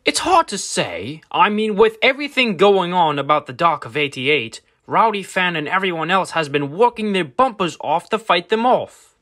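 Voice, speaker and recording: A man's voice: sits high, sing-song, moderate pace, bright, forceful tone, exaggerated voice. A man voicing an earnest toy race car talking with team-mates in an amateur fan dub. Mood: earnest, conversational.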